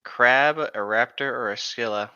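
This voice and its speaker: A man's voice: mid-range voice, a little slow, bright, forceful tone, a little hiss or hum. A man chatting casually as a podcast co-host, slangy and crude in his joking, on a lo-fi microphone. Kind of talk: casual chat.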